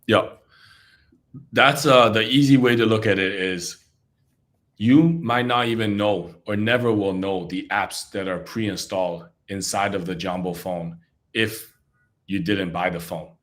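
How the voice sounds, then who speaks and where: A man with a deep, low voice who grew up in Congo answers interview questions as a company CEO over a remote call. He talks casually and keeps checking in with the listener with a quick "right?". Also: a bit bright. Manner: conversational, often tags "right?". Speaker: startup CEO guest.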